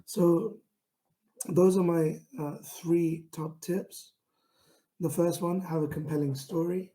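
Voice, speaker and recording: A man with a London accent speaking straight into the camera in an online talk. His voice is very dark and mellow, and he delivers it slowly in a level, monotone way. He pauses often, with many ums and restarts. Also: a little high, rough recording. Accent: London English. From England. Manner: self-described monotone, many fillers and restarts. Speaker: founder giving a pitching talk.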